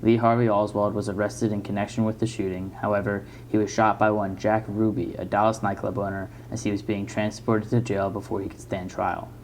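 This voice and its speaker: Male newsreel narrator, flat delivery on the low side, slight background noise. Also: a little fast, few pauses.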